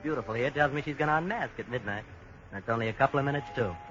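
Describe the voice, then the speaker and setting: A man's voice: mid-range voice, racing, a bit bright, faint music behind, close to an everyday voice. An actor playing a cocky young tourist in a 1940s radio drama. He wisecracks in slangy, brash talk. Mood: swaggering. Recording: lo-fi 1940s radio broadcast with faint hiss.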